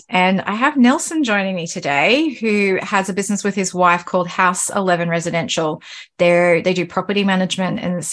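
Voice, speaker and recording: A woman hosting a podcast interview, chatting casually and rambling through restarts and fillers. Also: mid-range voice. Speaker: podcast host.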